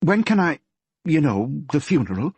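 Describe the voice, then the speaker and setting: A man voicing a grieving middle-aged mother with a Yorkshire accent, who talks in a gentle, fretful and homely way. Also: fairly high pitch, sing-song, moderate pace, slightly rough, no echo, pitched above the performer's own voice, exaggerated voice. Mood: anxious, fretful. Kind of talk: audiobook character voice.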